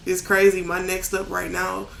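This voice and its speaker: A man with a raspy voice talking casually as a podcast guest, candid and loose, with plenty of fillers. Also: high-pitched, completely flat delivery, unhurried, noisy background. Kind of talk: casual chat. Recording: echoey room.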